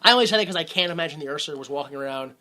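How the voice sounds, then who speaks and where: A man's voice: on the high side, lively intonation, rapid-fire, bright, forceful tone. A man casually recapping a movie as a podcast co-host, sarcastic and laughing often.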